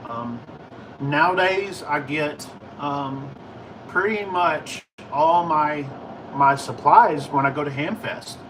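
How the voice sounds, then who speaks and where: An American man chatting casually to livestream viewers in a rambling, halting, informal way. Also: on the high side, distant mic, background noise. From United States. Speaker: livestream host, ham and CB radio hobbyist. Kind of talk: casual livestream chat answering viewer questions.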